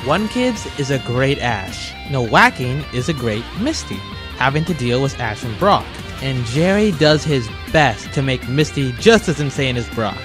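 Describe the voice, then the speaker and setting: A man's voice: mid-range voice, very animated, a little slow, over music. A man hosting a countdown review video in a casual, scripted narration with a wry, jokey manner. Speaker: host of a top-list video.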